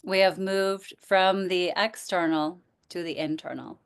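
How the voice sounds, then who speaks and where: Slow, smooth-voiced woman guiding relaxation. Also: fairly low pitch, steady tone. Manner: gentle, invitational, with pauses. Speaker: yoga nidra teacher. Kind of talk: guided relaxation instruction.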